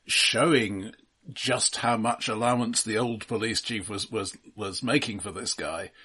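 A man's voice: fairly low pitch, fairly even intonation, measured pace, bright, forceful tone, breathy edge. A man co-hosting a film podcast, talking in a casual, analytical way.

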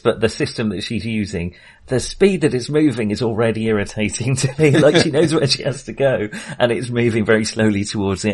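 Man, halting and amused. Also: medium pitch, slightly rough. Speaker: film podcast co-host. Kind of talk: casual film discussion.

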